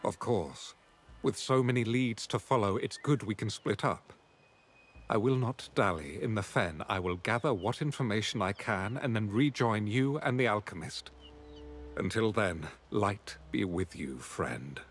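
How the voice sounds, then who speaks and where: A man playing an earnest, courteous traveling companion in a fantasy game, speaking in a measured, formal way. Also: on the low side, expressive, slight background noise. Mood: earnest, friendly. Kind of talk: scripted game dialogue.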